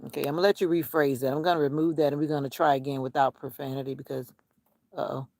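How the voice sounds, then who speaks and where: A woman's voice: deep voice, steady tone, fast, darkish tone, dry recording, clean studio sound. A woman vlogging casually outdoors, musing in a rambling way to herself and her viewers. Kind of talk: casual outdoor vlog chat. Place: outdoors on a nature walk.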